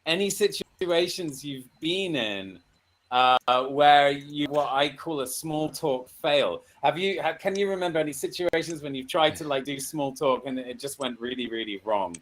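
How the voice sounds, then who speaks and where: Casual male host, slightly high voice. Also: moderate pace. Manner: encouraging, informal. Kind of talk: casual live group discussion show.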